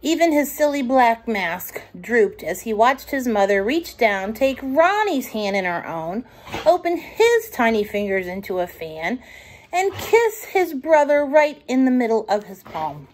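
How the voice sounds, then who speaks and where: A woman reading a picture book aloud to young children, gentle and expressive. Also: a little high, unhurried. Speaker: children's storytime reader.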